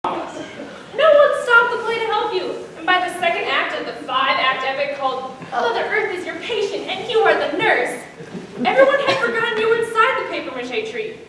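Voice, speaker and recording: Teenage female narrator for comic readers theater, high voice, projected and theatrical, recorded in a very echoey room. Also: big pitch swings, moderate pace, bright, forceful tone. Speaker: student performer, narrator. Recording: echoey room, distant mic, faint noise.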